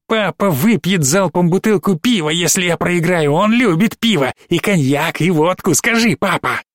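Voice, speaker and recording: A man voicing a cruel, mocking possessed little girl in an audiobook, with a shrill, drawn-out taunting voice. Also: no echo, clean studio sound, natural voice. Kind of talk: audiobook reading.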